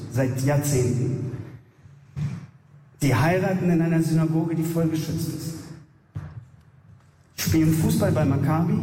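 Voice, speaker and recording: A man's voice: fairly high pitch, dark tone, recorded from a distance, a little hiss or hum. A man in his fifties with a Berlin accent, speaking bluntly and emphatically from the floor of a panel discussion. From Berlin, Germany. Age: 50s. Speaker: audience member at a panel. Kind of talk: impassioned statement from the floor. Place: large hall with an audience. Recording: roomy hall, picked up from afar.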